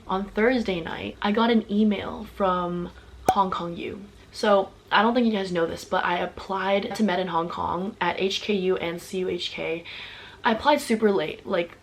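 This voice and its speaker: A woman's voice: medium pitch, slight background noise. Young female vlogger, casual and chatty, addresses the audience directly, piles on repeated words. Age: young adult. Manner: chatty, talks straight to viewers, stacks repeated words for emphasis. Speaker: vlogger and athlete. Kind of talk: casual story-time vlog.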